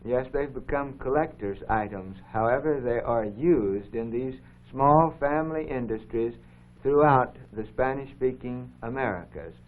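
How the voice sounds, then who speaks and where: A man's voice: medium pitch, unhurried. Man explaining on a tinny old broadcast. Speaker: co-host of an educational program. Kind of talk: unscripted explanatory commentary over film footage. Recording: dull, phone-like 1950s broadcast recording.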